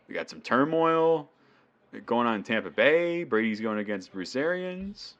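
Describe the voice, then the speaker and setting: A man's voice: mid-range voice, sing-song, measured pace, a bit bright, highs cut off. A man with a New England accent co-hosting a casual sports podcast, chatty and laughing often. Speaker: podcast co-host.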